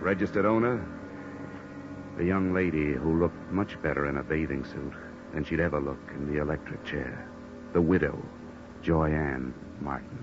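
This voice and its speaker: An American man acting a wry, hard-boiled insurance investigator in an old-time radio noir drama, deadpan and wisecracking. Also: extremely low pitch, normal speed. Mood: wry, tense narration. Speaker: actor narrating and playing a detective. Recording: dull lo-fi old radio recording, music behind.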